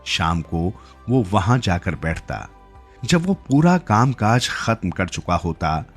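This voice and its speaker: A man's voice: low-pitched, slightly rough. A man narrating a scripted literary story as a storyteller.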